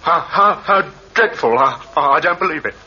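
A man's voice: fairly high pitch, lively intonation, moderate pace, pushed and bright, slightly rough, full character voice. An actor in an old-time radio drama playing a desperate young man who lies and stammers, agitated and defiant. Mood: evasive, nervous. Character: desperate, lying young murderer son. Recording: muffled, noisy old radio recording.

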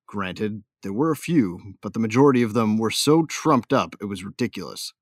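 An actor playing a hard-bitten, vengeful bounty hunter who narrates an audio drama in a gruff, sardonic, slangy way. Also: male voice, lowish voice, lively intonation, normal speed, no echo, studio quality. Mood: grim, sardonic.

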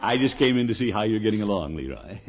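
Casting: blustery, genial uncle for vintage radio comedy, low-pitched with wildly animated swoops, pompous and easily flustered. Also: male voice, fast. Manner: pompous, chuckling, hemming and hawing. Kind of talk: 1950s radio sitcom acting. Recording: muffled old radio recording.

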